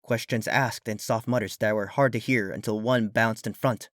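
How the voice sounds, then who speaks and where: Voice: mid-range voice, steady tone, moderate pace, a bit bright, dry recording, clean studio sound. A storyteller reading a scripted fictional narration.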